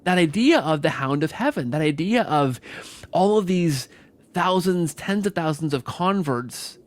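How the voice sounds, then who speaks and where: Effusive man hosting, higher-pitched voice. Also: lively intonation. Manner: effusive, halting with repeated words and frequent "right?" tags. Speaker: podcast host. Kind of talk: conversational interview.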